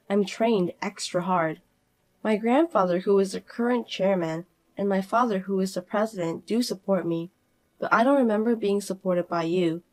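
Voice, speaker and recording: A woman voicing a calm, cool-headed young working woman who speaks quietly and firmly, narrating in the first person. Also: medium pitch, close to an everyday voice.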